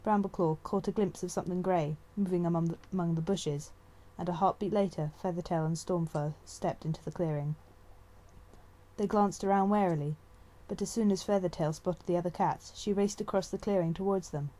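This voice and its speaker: A woman's voice: fairly low pitch, fairly even intonation, fairly quick, mellow, low-quality recording. A woman narrating a story as an amateur audiobook reader.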